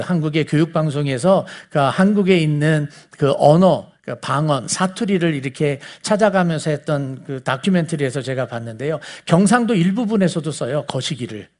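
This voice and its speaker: High-pitched Seoul man preaching conversationally. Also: animated, normal speed, few pauses, darkish tone. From South Korea. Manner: explanatory, asks listeners rhetorical questions. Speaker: preacher teaching the Bible. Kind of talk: conversational sermon lecture to a congregation.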